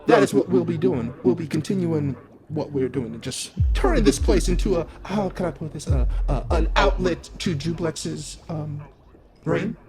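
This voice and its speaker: Guy doing a smug old slime cult wizard, pompous gloating zealot, game villain over loud music. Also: a little high, close to an everyday voice. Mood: smug, devout. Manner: pompous, faux-genteel, drawn-out phrasing.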